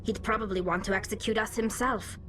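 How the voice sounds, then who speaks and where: An actress playing a wry young alien crew member in a video game, lightly ironic. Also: female voice, mid-range voice, steady tone, fairly quick, pushed and bright, faint music behind, lightly acted. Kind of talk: video game cutscene acting.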